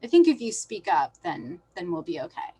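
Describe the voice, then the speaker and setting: Breathy, lively woman moderating. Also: lowish voice, fairly quick. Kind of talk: hosting a webinar panel.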